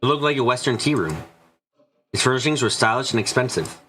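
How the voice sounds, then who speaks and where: A man's voice: mid-range voice, lively intonation, a little fast, a bit bright, slight background noise, low-quality recording. A man reading scripted story narration for a visual novel.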